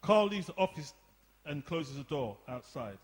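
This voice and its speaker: A man narrating scene directions for a radio play in a halting delivery. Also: a little high, sing-song. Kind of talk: scripted narration of stage directions. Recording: echoey hall, music behind.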